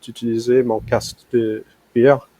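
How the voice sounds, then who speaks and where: A young American man, a native English speaker, chatting in halting French as a language learner over a video call, with frequent fillers and restarts. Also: medium pitch, flat delivery, dark tone, no echo. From United States. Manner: hesitant, many fillers and restarts. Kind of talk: casual conversation practice.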